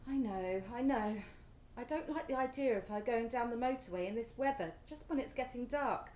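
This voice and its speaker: An English actress playing an excitable, fretful, teasing middle-aged mother in a radio play. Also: a little high, moderate pace, roomy sound, lightly acted. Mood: anxious. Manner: animated, fussing, chiding.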